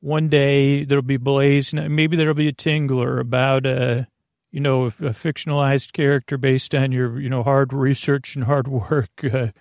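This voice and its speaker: A man hosting a sleep podcast in a meandering, halting, self-deprecating monologue, often repeating himself and trailing off, with a gravelly voice. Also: medium pitch, flat delivery, a little mellow, no room sound. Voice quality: creaky, gravelly. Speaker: podcast host.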